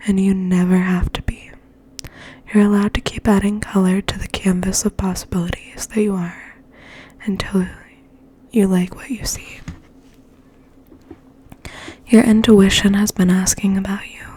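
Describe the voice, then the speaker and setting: A woman whispering in a gentle, breathy, slow ASMR style, as if reading poetry aloud and sharing quiet thoughts. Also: medium pitch, a little hiss or hum. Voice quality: breathy, mostly unvoiced whisper. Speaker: ASMR host and poetry reader.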